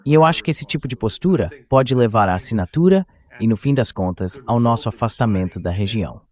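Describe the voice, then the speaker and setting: A man's voice: lowish voice, animated, fairly quick, darkish tone. An AI-voiced man reading a translated dub of an interview guest's political analysis. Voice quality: synthetic AI voice-over.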